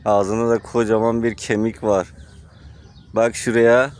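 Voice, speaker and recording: A man's voice: lowish voice, flat delivery, unhurried, a little mellow, clean tone. A man chatting casually with a young child outdoors, like a father filming, prompting with short questions and urgings. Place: outdoors in a park. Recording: faint outdoor park background noise.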